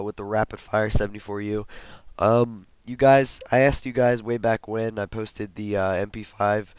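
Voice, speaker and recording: A young man with a sick, hoarse voice, rambling through casual gameplay commentary with many fillers and restarts. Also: lowish voice, no room sound. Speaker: gaming commentator.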